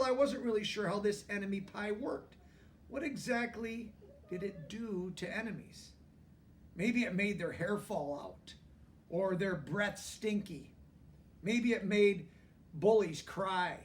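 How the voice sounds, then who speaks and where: A man's voice: high-pitched, distant mic. A man reads a children's picture book aloud expressively, explaining things simply for young listeners. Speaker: storybook reader.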